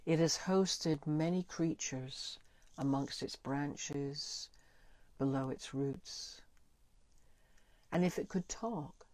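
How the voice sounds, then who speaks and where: A woman leading a guided meditation in a soothing, deliberate way, pausing between short phrases. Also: sits low, darkish tone, dry recording. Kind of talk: guided meditation, gentle spoken visualisation.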